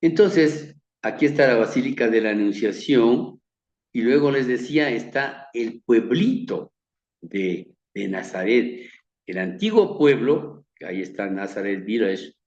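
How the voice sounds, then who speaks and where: Slow, earnest Ecuadorian man teaching scripture. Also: medium pitch, lively intonation, roomy sound, highs cut off. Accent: Ecuadorian, from Quito. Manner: measured and earnest, repeats words, trails off softly at phrase ends. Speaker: Bible teacher. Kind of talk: slow sermon-like slideshow lecture.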